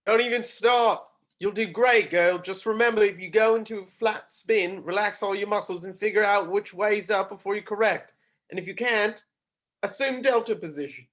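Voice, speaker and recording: A man's voice: high-pitched, normal speed, a bit bright. A man reading a film role aloud at a table read, in an urgent, coaching tone.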